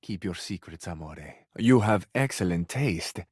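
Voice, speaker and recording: A man's voice: deep voice, lively intonation, measured pace, darkish tone, slightly rough, no echo, studio quality, full character voice. A man playing a flamboyant, vain Italian lover in a video game, speaking with an Italian accent in an effusive, florid, romantic manner. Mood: seductive. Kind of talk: theatrical video game character acting.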